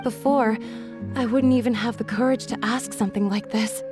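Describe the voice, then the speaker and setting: A woman's voice: on the high side, steady tone, normal speed, lightly acted. A woman voicing a timid, guarded young village woman in a video game, speaking hesitantly and politely. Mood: hesitant, wary. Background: music.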